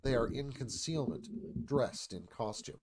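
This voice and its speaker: A man's voice: mid-range voice, animated, a little slow, a little mellow. A man reading screenplay stage directions aloud as scripted narration.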